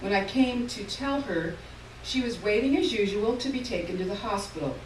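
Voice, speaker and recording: A woman giving a live reading of short fiction on stage, telling the story in a measured way. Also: medium pitch. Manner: measured storytelling, lively in the dialogue. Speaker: reader. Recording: roomy hall, distant mic, faint room noise.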